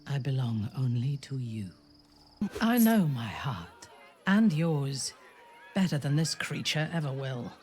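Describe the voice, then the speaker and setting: A woman playing a proud, fierce dark elf warrior in a fantasy video game, speaking in a formal, deliberate, imperious way. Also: very deep voice, big pitch swings, faint music behind, full character voice. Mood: solemn, tender. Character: proud fierce female drow warrior, devoted lover.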